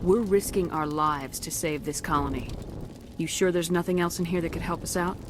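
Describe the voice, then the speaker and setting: An actress playing a firm space soldier in a video game, speaking directly and with authority. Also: female voice, lowish voice, moderate pace, noisy background, lightly acted. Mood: firm, questioning. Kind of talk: dramatic video game acting.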